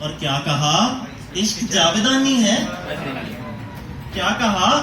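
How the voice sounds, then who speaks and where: A man giving a dramatic Urdu poetry recitation in a theatrical, deliberate way, pausing and repeating lines. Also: high voice, animated, crowd noise. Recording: echoing hall, distant mic, audience noise.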